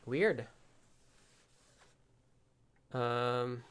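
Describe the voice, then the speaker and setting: A man hosting a casual live coding stream, talking haltingly and half muttering to himself as he works. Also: mid-range voice, fairly bright tone, clear, resonant tone. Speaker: livestream host, programmer. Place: indoors at a desk with computer.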